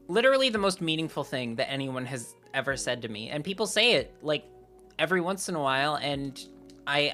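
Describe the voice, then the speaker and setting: Low-voiced woman with a sore throat. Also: fairly bright tone. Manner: rambling and candid, heavy use of "like". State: sore throat, voice deeper than usual. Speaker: streamer. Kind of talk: casual live-stream chat.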